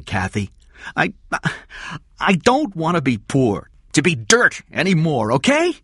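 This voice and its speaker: A man's voice: a little high, a bit bright, breathy edge, no echo, clean studio sound, pitched above the performer's own voice, heavily acted. A man voicing a desperate small-time criminal who pleads and stammers defensively.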